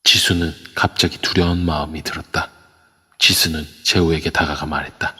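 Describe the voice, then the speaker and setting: A man narrating an audio drama in a steady storytelling way. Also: rumbling low, normal speed, husky. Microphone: phone in an online voice room. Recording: long reverb on a voice chat app.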